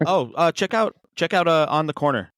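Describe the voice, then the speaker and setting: A man's voice: on the high side, expressive, fairly quick, fairly bright tone, no echo. Laid-back man in his fifties, dry sound. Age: 50s. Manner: laid-back, swears freely, hesitant fillers. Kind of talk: casual chat.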